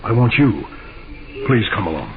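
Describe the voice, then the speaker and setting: An actor playing an anxious, secretive banker in an old-time radio drama, urgent, confiding and insistent. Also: male voice, fairly low pitch, sing-song, moderate pace, creaky, narrow, tinny audio, music in the background. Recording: very noisy, thin old radio recording.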